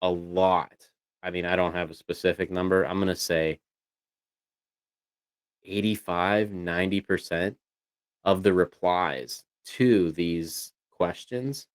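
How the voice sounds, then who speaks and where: A man's voice: sits low, fairly even intonation, slow, pauses often, clean tone, dry recording. A man chatting casually as a livestream co-host, talking in a rambling way with lots of "you know"s. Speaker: co-host of a voice tech show.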